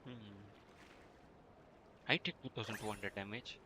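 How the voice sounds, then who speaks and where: A man's voice: fairly low pitch, steady tone, a little slow. A man livestreaming a game, giving casual, terse commentary and muttering bits of on-screen text. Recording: livestream with clear background noise.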